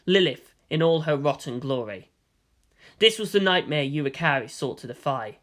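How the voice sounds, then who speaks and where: A narrator reading a dramatic scripted story in a solemn, portentous manner with deliberate phrasing. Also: mid-range voice, animated, fairly bright tone. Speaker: fan fiction narrator.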